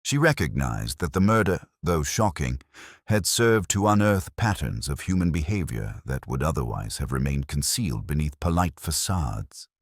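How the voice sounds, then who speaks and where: Very deep male mystery narrator. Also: sing-song, no echo, clean studio sound. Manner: measured, formal storytelling. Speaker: audiobook narrator. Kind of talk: scripted literary mystery narration.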